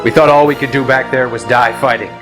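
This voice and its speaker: A man playing a steady, curt and weary squadron commander in a sci-fi audio drama. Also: mid-range voice, fast talker, over music, close to an everyday voice. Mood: calm, commanding.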